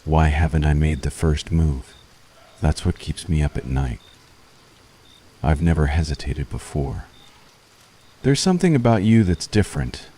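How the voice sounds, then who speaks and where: A man playing a weary, conflicted witch hunter in an intimate ASMR roleplay, speaking softly and earnestly to the enemy he has grown tender toward. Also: very deep voice, animated, measured pace, long pauses, slight background noise. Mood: conflicted, tense. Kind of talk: ASMR boyfriend roleplay, intimate scripted monologue.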